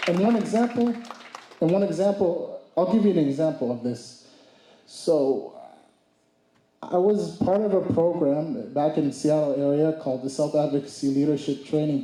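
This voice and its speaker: A man in his twenties giving a speech at a community summit, halting with restarts and mixing in Somali phrases. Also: fairly high pitch, mellow, roomy sound, low-quality recording. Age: 20s. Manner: hesitant, many restarts and fillers; mixes Somali phrases into English. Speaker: speaker. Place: event hall.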